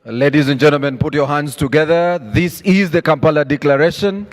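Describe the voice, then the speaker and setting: A man's voice: fairly high pitch, a little fast, faint music behind. A man makes formal, courteous announcements as a ceremonious master of ceremonies. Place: large conference hall. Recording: reverberant hall over PA.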